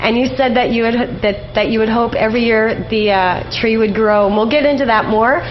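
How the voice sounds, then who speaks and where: A woman's voice: on the high side, fairly even intonation, a little fast. A woman hosting a radio show, chatting casually with a guest in an interview. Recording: slightly dull, noisy radio recording.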